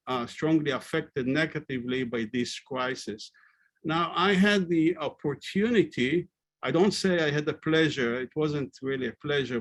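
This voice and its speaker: A man with an Israeli accent giving an earnest webinar presentation over a video call, pausing often with fillers. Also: on the high side. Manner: earnest, hesitant with frequent fillers. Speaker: panelist presenting research.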